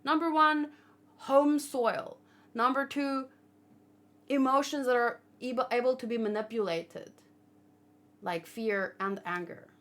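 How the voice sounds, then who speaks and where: Female livestream host, on the higher side in pitch, informal discussion style, opinionated and reasoning as she goes. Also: unhurried, pauses often, a bit bright. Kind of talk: casual chat, manga discussion and commentary.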